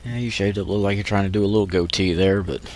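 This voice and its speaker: A man's voice: sits low, fairly even intonation, quick pace, a little mellow. A man with a stuffy nose from allergies, giving laid-back, joking commentary over gameplay. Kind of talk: let's play commentary.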